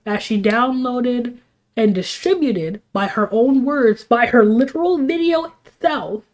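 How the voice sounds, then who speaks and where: A woman's voice: a little high, a little slow, a little mellow, poor audio. Sniffling woman ranting on stream. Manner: heated, rambling, many restarts and fillers. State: sick and sniffling. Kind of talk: casual livestream rant.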